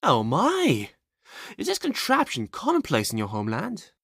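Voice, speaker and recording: A woman's voice: low-pitched, wildly swooping pitch, moderate pace, vocal fry, breathy edge, no room sound, clean studio sound, heavily acted. A woman playing a refined, cautious space princess in a sci-fi roleplay, with formal, courtly speech. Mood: impressed. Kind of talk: ASMR audio roleplay acting.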